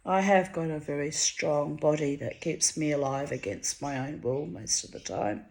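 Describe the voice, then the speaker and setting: A Hungarian-born woman reading her own diary entries aloud, wry and theatrical, her voice swinging high and low for effect. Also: moderate pace. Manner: wry, theatrical, dramatic swings of pitch and loudness.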